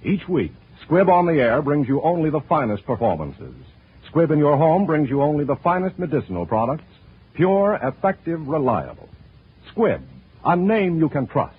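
A man announcing in scripted 1940s radio style, with a formal, grand, measured delivery. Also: on the high side, a little hiss or hum. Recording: tinny vintage radio broadcast with music.

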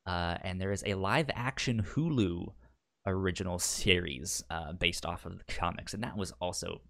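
A man with a somewhat low voice talks in relaxed podcast chat. He stammers a lot and repeats the first sounds of words before he gets them out. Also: moderate pace. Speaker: podcast co-host. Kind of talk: casual chat.

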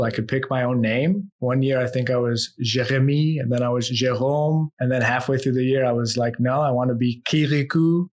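A man from the United States telling his life story as a podcast guest. His voice is mid-pitched and conversational. He sounds relaxed and reflective, and often drops low and quiet as he thinks back. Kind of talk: storytelling in an interview.

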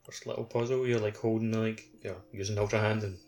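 A man's voice: on the low side, little pitch movement, a little fast, darkish tone, soft background music. A man chatting casually as co-host of a gaming podcast.

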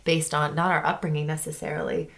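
A woman's voice: low-pitched, big pitch swings, fast talker, vocal fry. A woman in her thirties co-hosting a casual talk show, speaking thoughtfully and reflectively. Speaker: podcast co-host. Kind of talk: casual conversational chat.

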